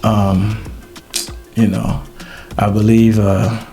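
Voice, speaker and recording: A middle-aged man hosting a podcast, talking in a halting, reflective way with many ums and uhs. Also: on the low side, flat delivery, warm tone, faint music behind. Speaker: podcast host. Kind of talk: casual podcast chat.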